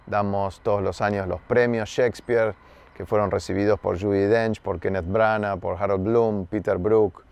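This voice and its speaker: A man, a theatre director speaking to camera in a garden, thoughtful with pauses and fillers. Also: lowish voice, steady tone, a little mellow, clear, resonant tone. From Argentina. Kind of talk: interview to camera. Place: garden outdoors. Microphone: close camera framing.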